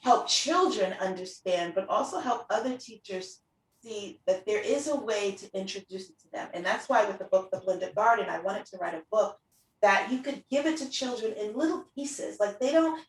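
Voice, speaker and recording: The sound is roomy and a little distant from the microphone. A middle-aged woman with a medium-pitched voice hosts a casual conversation. She talks in an anecdotal way, slipping into quoted remarks as she goes. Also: animated, moderate pace. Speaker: interview host and preschool teacher. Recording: roomy echo, distant from the mic.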